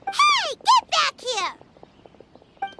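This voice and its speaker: A woman voicing a tiny, childlike fairy companion in a video game, naive and exclaiming in a squeaky voice. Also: wildly swooping pitch, drawn-out words, noisy background, full character voice. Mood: indignant. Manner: squeaky, exclaiming, speaks of self in third person. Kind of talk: scripted video game dialogue. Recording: loud music behind the voice.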